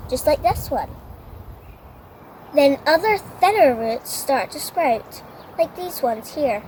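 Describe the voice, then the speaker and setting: Female nature educator for a children's demonstration, high voice, measured pace, faint background ambience. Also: a little mellow, a little hiss or hum, poor audio. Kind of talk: simple explanatory demonstration for children.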